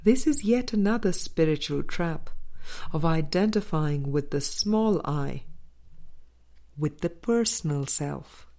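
Slow, low-voiced woman reading an audiobook. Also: expressive, mellow. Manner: measured, deliberate phrasing with frequent pauses. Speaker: audiobook reader. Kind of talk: scripted audiobook narration of a spiritual dialogue.

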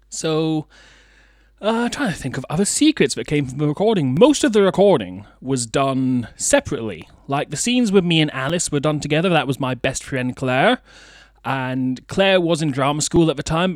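A man's voice: a little high, no echo. A man hosting a podcast in a studio, chatting informally and reflectively with a self-deprecating manner, close on the mic. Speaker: podcast host. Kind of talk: casual reflective podcast chat.

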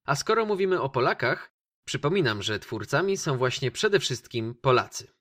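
A man's voice: medium pitch, very animated, moderate pace, fairly bright tone. A man presenting a film review on a radio show, giving his opinions in a conversational way.

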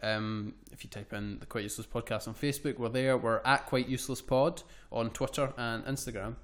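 A man's voice: medium pitch, fairly even intonation, a little fast. A young man, co-hosting a casual podcast and steering it along with frequent ums and restarted words. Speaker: podcast co-host.

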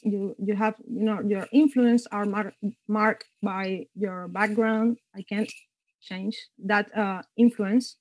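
A woman composer from Cuba answering interview questions thoughtfully, pausing often and searching for words. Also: medium pitch, darkish tone, no echo. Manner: halting, searching for words, frequent hesitations. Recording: dull lo-fi dry sound.

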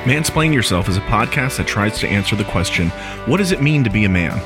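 A man's voice: lowish voice, expressive, brisk, over music. A man hosting a podcast interview, chatting casually with plenty of filler words. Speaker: podcast host.

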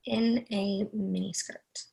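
A woman's voice: medium pitch, fairly even intonation, slow pace, gravelly, warm tone, rough recording. A woman playing a sensitive, anxious office worker in a play reading, with a fretful, hesitant delivery. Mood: hesitant, recording.

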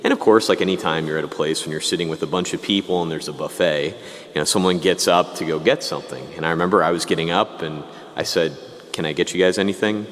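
Deep-voiced priest in an echoing church. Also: male voice, steady tone, fast, a bit bright. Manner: chatty, self-deprecating, joking. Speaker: parish priest. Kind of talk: Sunday homily. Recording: long church reverb.

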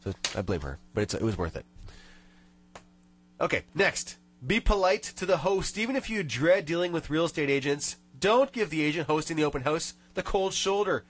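A man's voice: on the high side, big pitch swings, moderate pace, a bit bright, a little hiss or hum. A man hosting a radio show in a studio, nasal from a cold, chatting and reading tips aloud with small rants. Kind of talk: casual radio chat.

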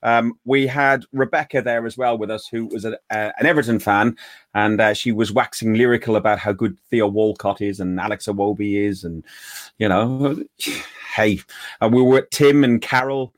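A man's voice: lowish voice, normal speed, dry recording. Irish male host, casual and rambling, dry close sound. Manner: rambling, many restarts. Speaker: podcast host. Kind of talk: casual fan chat.

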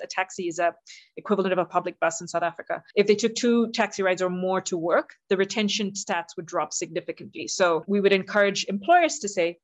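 A middle-aged woman from southern India giving earnest, articulate, explanatory answers as an interview guest, stressing her key points. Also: medium pitch. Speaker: interview guest, youth employment leader.

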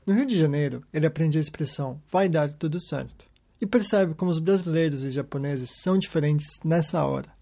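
A man hosting a podcast, telling stories in a calm scripted narration. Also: a little high, fairly quick, vocal fry. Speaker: podcast host. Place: lives in Japan.

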